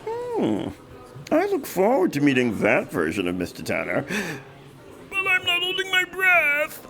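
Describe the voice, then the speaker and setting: A woman playing a droll, teasing senior crew member in a sci-fi audio drama, dry and deliberate. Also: high voice, huge pitch swings, a crowd in the background, full character voice. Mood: wry, teasing.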